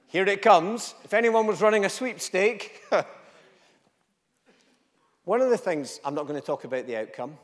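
A middle-aged man with a Scottish accent giving a passionate conference speech from a stage, driving his points home by repeating them and swinging between loud and quiet. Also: high voice, animated, unhurried, long pauses. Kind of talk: impassioned conference keynote speech. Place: large conference hall stage. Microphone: stage microphone, walking freely. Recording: long hall reverb.

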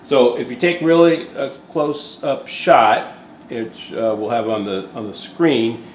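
A man's voice: medium pitch, slow. A man in his fifties, a shop owner, talking candidly on camera in a workshop, thinking aloud with fillers and restarts. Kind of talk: casual on-camera explanation. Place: indoor workshop beside a welding machine. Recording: echoey workshop, faint background hum.

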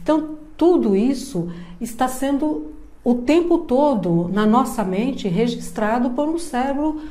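A woman in her fifties from Brazil, a psychologist giving a calm, measured online lecture to camera from a room at home. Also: high-pitched. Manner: slow, measured, explaining step by step. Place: indoor room with plants and a painting behind. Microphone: webcam framing, seated at desk.

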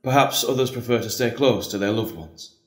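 A man playing an earnest, patient priest hearing confession in an audio drama, measured and consoling. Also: medium pitch, natural voice. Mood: solemn, patient. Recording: echoey room.

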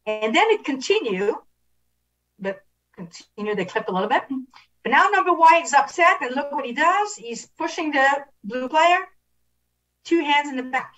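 High-voiced middle-aged woman coaching, distant mic. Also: lively intonation, normal speed, a bit bright. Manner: instructive, rhetorical questions, frequent repetition. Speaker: referee instructor. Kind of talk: video analysis coaching at an online clinic. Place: indoors on a video call.

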